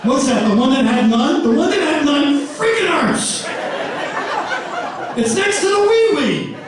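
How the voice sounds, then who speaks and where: Fifties guy doing standup, high animated voice, loud and fed up, heard from far off in a roomy theater with a crowd laughing. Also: unhurried. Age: 50s. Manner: loud, exasperated, rising to shouts. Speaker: stand-up comedian. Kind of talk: stand-up comedy set. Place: live comedy theater stage. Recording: roomy hall, distant, crowd noise. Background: laughing audience.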